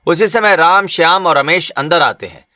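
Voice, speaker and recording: A man's voice: a little high, normal speed, bright, forceful tone. A man narrating a scripted cartoon story as a storyteller.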